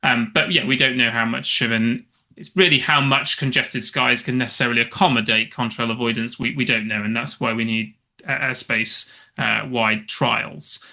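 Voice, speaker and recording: A man speaking as an expert guest, explaining off the cuff and often restarting his phrases haltingly. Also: medium pitch, fairly even intonation, gravelly, bright, forceful tone. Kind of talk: unscripted explanatory interview answer.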